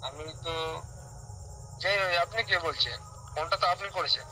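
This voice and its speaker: Man, very bright sharp voice, fairly high, insistent, noisy phone call. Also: fairly quick. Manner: questioning, insistent. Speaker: prank call recipient. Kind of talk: casual phone conversation.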